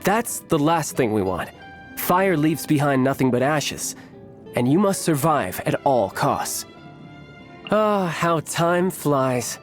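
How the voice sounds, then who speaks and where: Cocky young fighter dude for a video game, slightly high voice, breezy and dramatic, bit of music behind. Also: male voice, lively intonation, takes it slowly. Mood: playful, spirited. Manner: breezy, confident, theatrical. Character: cocky, battle-loving young warrior officer, friendly yet dangerous. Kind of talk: video game character voice lines. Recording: studio voice over background music.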